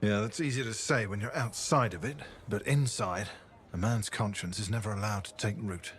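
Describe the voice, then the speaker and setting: An actor playing a haunted, earnest ex-spy in a video game, with a measured, reflective delivery. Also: male voice, on the low side, a little hiss or hum, close to an everyday voice. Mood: grave, reflective. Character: grave, haunted, earnest defected spy, grown man. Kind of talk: video game dramatic acting.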